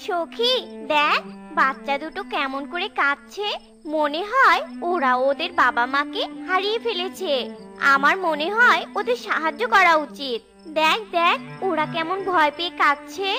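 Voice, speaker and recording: Woman as talking taro plant, very high voice, gentle reassuring manner, children's cartoon, music behind. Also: lively intonation, a little slow, heavily acted. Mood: concerned.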